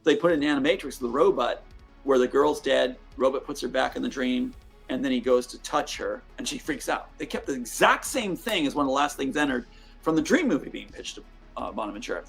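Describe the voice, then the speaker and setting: Gravelly, husky male interviewee, rambling, stumbling, played-back clip with faint music. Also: medium pitch, expressive, a little fast, poor audio. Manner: stumbling, repeats words. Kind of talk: rambling interview recounting a story.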